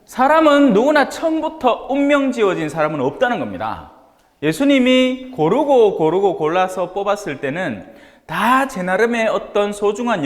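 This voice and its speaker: Animated Gyeongsang-accented priest in an echoey hall. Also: male voice, high-pitched. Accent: Gyeongsang Korean. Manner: jocular, colloquial, rhetorical questions to listeners. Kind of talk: informal religious lecture to a small group. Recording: echoey hall with long reverb.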